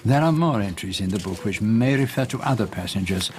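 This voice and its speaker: An actor playing a shrewd, courteous middle-aged detective with a French-speaking Belgian accent, speaking formally and deliberately in a radio drama. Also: male voice, lowish voice, expressive, a little mellow, natural voice. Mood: musing, shrewd.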